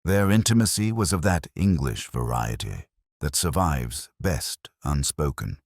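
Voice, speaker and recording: Very deep-voiced man narrating a detective story. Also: expressive, no room sound, studio quality. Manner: measured, literary, reflective. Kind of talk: scripted first-person detective story narration.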